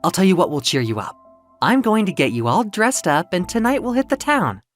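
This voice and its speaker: A winged muse woman, acted by a man, soothing and encouraging, with a playful streak. Her lines swing widely in pitch over a music bed, in the style of an audio comic book. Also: very animated, fairly quick. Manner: reassuring, encouraging. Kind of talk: audio drama storytelling.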